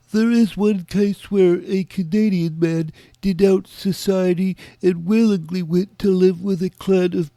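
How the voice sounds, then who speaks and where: A man's voice: sits high, creaky, dark tone, no echo, heavily acted. A man playing a big middle-aged cryptozoologist in an audio drama, prickly, wary and pedantically literal. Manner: stiff, pedantic, hesitant.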